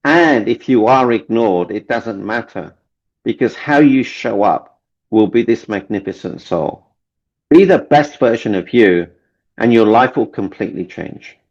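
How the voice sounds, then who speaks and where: A man's voice: lowish voice, lively intonation, a little slow, a little mellow. A man from Kenya, a relationship coach guest, speaking earnestly and repeating key phrases for emphasis. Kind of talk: motivational interview talk. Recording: dull lo-fi sound.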